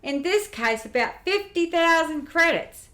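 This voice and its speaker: A woman's voice: very high voice, lively intonation, a little slow, fairly bright tone, full character voice. A woman playing a candid, streetwise young female grifter in a radio play, telling things plainly. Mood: candid storytelling. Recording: roomy, echoey amateur recording.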